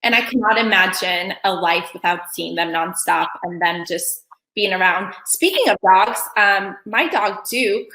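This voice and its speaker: A woman's voice: medium pitch, animated, a little slow, bright, forceful tone, reverberant, rough recording. A woman from Kosovo whose first language is Albanian, hosting an online meeting in a chatty way with many ums and restarts. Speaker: event host. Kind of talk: casual online meeting chat.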